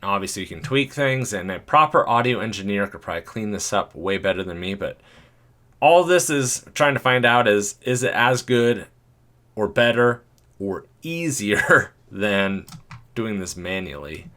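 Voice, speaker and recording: A man with a deep voice, talking casually and thinking aloud as he walks through an editing tutorial, chuckling now and then. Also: animated, a little slow, sharp tone. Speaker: video creator.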